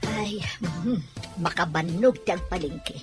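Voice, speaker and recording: A woman's voice: fairly low pitch, measured pace, loud music behind the voice, natural voice. A woman playing a caring, practical mother and aunt in an audio drama. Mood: weary, practical. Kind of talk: audio drama dialogue in Ilocano.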